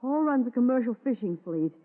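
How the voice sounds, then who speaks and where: An actress playing a frightened, nervous widow who stammers anxiously, in 1940s radio style. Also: female voice, a little high, animated, brisk. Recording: tinny old radio broadcast.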